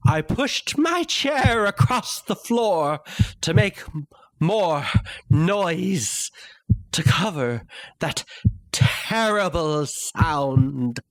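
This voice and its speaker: A halting, unhinged murderer confessing. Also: male voice, a little high, very animated, no echo, exaggerated voice. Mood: mounting panic. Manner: halting, theatrical, hushed to crying out. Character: guilt-ridden mad male murderer, agitated, confessing. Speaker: narrator. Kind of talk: dramatic reading of a gothic horror tale.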